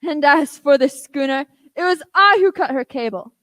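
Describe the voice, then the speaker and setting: Female actor as high-voiced bold boy hero. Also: lively intonation, moderate pace, heavy background noise. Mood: boastful. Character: bold, earnest boy Jim Hawkins. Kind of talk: radio play acting.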